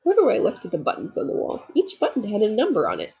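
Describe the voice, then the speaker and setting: A woman narrates a picture book for young children. Her voice is markedly husky with some fry, and her pitch moves in lively, expressive sweeps as she speaks to the listeners. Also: quick pace, dull audio. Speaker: storybook reader. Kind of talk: children's read-aloud narration with asides.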